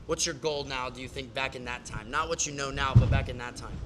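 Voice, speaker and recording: A man's voice: medium pitch, brisk, a bit bright, faint music behind. A man coaching a client in a casual, emphatic way, full of "like" and restarts. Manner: casual, filler-heavy, emphatic explaining. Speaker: business coach. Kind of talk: live coaching session.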